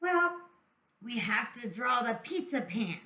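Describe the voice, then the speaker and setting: A woman's voice: fairly high pitch, sing-song, a little slow, bright, forceful tone, reverberant, heavily acted. A woman voicing a cheerful, polite little red hen puppet with a childlike, eager manner for a children's storytime. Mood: eager, friendly.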